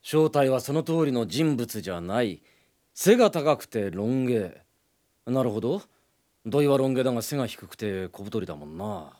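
An actor playing a brash, excitable young police detective in a radio drama. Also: male voice, medium pitch, moderate pace, natural voice. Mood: musing, casual. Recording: noisy late-1990s radio drama recording.

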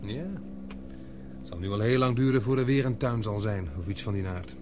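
A man's voice: on the low side, normal speed, background noise, close to an everyday voice. A man acting an earnest, protective younger man in an adventure radio play. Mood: calm. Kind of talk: Dutch radio drama acting.

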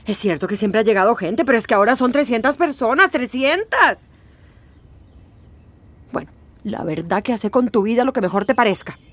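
Gender: woman acting as indignant townswoman. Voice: high-pitched, haughty. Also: expressive, normal speed, long pauses, a bit bright, dry recording. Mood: disapproving. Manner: haughty, argumentative, exclamatory. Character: scornful, indignant townswoman campaigning against migrants. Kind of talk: Spanish-language radio drama acting.

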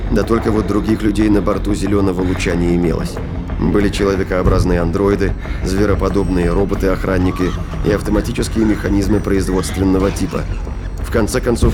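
Male narrator for sci-fi audio drama, low-pitched, scripted storytelling over prominent music. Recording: loud music behind. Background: loud music and effects.

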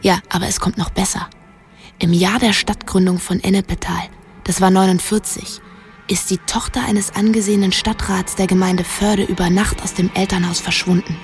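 A headstrong young woman acted by a woman in a radio play. Her voice sits at an ordinary pitch and she talks bluntly, with a secretive, conspiratorial edge. Music plays faintly behind her. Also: flat delivery, moderate pace, natural voice. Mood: conspiratorial storytelling. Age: young adult. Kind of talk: German radio drama dialogue.

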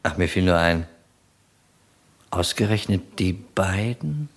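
A persistent police detective in a crime radio play. His voice sits low, and he questions in a dry, sceptical, conversational manner. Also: lively intonation, slow pace, faint music behind, exaggerated voice. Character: male police detective, matter-of-fact, persistent, dryly sceptical.

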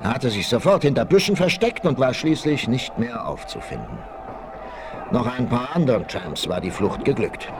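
A man's voice: medium pitch, sing-song, normal speed. A man narrating a 1960s adventure audio play in a dramatic, suspenseful, emphatic scripted style. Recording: noisy 1968 vinyl transfer.

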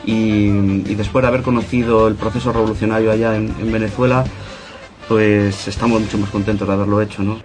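A man's voice: on the low side, level tone, a little fast, darkish tone, soft background music. A musician with an accent from Spain answering interview questions, often tagging his phrases with a little question. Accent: Spanish, from Spain. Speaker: band musician being interviewed.

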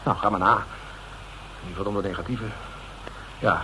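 An actor playing a streetwise, defensive suspect in a Dutch radio drama, talking in a colloquial, sardonic way that turns hesitant when cornered. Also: male voice, deep voice, fairly even intonation, measured pace, pushed and bright. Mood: defensive, evasive. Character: cynical, evasive male suspect, streetwise and defensive. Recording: noisy old radio drama recording.

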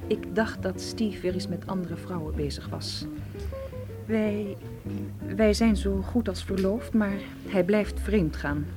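A woman's voice: mid-range voice, takes it slowly, over music, close to an everyday voice. An actress playing an anxious, evasive female tour guide in a radio drama, explaining herself hesitantly and defensively. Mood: anxious, confessing.

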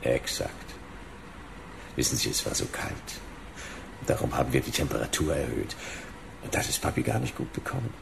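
An actor playing a wry, hard-boiled detective in a sci-fi radio drama, with dry, laconic, sardonic delivery. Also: male voice, a bass voice, very animated, moderate pace, long pauses, background noise, full character voice. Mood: cool, taunting. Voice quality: gravelly. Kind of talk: noir radio drama dialogue and first-person narration.

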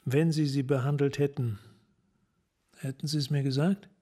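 An actor playing a hard-boiled police sergeant in a German radio crime drama, speaking tersely and directly. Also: male voice, medium pitch, fairly even intonation, moderate pace, mellow, lightly acted. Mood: coolly probing. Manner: terse, direct, clipped sentences.

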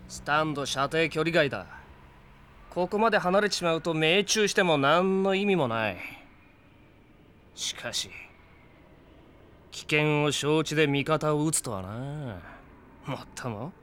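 An actor playing a cocky, greedy hired gunman in an audio drama, swaggering and rough-spoken. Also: on the high side, lively intonation, measured pace, long pauses, a bit bright, a little hiss or hum. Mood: calculating. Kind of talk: Japanese audio drama acting.

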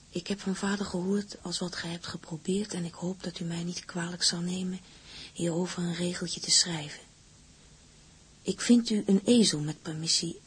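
Breathy, low, frank young woman. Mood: tentative. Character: blunt, bossy, fond young woman writing a letter. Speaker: actress in a radio drama. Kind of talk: Dutch radio play, letter monologue in old-fashioned wording.

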